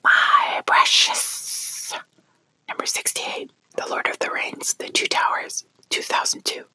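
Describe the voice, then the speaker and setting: This is a hushed ASMR whisper, airy and breathy, with a very bright, crisp edge. It moves widely up and down in pitch as it reads each entry, and it sounds close and dry with almost no room around it. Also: moderate pace, dry recording, low-quality recording. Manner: soft whispering, quietly acting out each quote before the title, apologizing for slips. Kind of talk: whispered ASMR countdown of film quotes.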